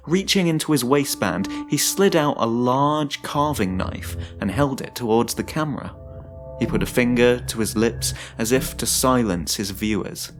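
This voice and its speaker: Male horror narrator over clear music. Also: medium pitch. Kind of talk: dramatic first-person scripted narration.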